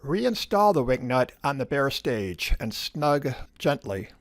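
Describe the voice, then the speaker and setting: A man reads scripted instructional narration in a measured, step-by-step way, like a lab instructor. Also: medium pitch, big pitch swings.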